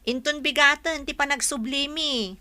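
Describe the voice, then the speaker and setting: Actress as a bright-voiced, frank village friend. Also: female voice, sits high, normal speed. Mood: practical. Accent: Ilocano. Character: frank, practical village woman friend working in the city. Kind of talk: Ilocano radio drama acting.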